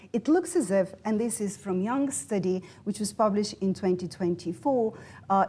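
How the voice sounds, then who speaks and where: A woman with a Turkish accent lectures at a symposium in a middle-pitched, even voice. She speaks with measured, scholarly phrasing. She often stumbles and restarts on words and names. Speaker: conference speaker. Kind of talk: academic lecture. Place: conference hall.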